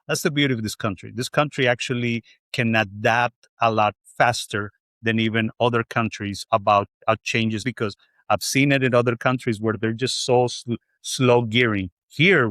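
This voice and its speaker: A middle-aged man from Colombia hosts a business podcast, his voice sitting at an ordinary middle pitch. He talks in long, winding runs, full of fillers and restarts. The sound is dry and close, with no room echo. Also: a bit bright. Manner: rambling, restarts, many fillers. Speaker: podcast host. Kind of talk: interview conversation.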